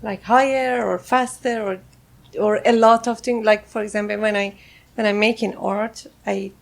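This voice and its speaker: A woman from Iran with a Persian accent, a native Persian speaker, answering casual interview questions in halting English as an artist. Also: medium pitch, steady tone, creaky. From Tehran, Iran. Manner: halting, searching for words in English. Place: on a houseboat, seated at a table.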